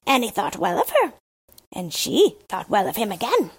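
A woman's voice: fairly high pitch, moderate pace, creaky, husky, pitched above the performer's own voice, exaggerated voice. A woman voicing a chatty, boastful male crow in a storybook reading. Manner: lively, chattering and eager to gossip.

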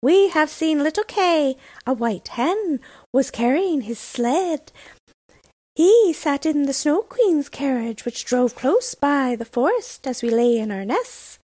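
A woman reading a storybook, voicing a pair of chattering wood pigeons in a high, sing-song coo. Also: lively intonation, takes it slowly, dark tone, dry recording. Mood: urgent. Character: cooing wood pigeons, small and fluttery.

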